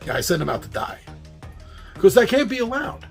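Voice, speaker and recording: Lively high-voiced New York man hosting. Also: brisk, creaky, soft background music. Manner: chatty, animated, laughs at his own slips. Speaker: host. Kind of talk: casual monologue on board games.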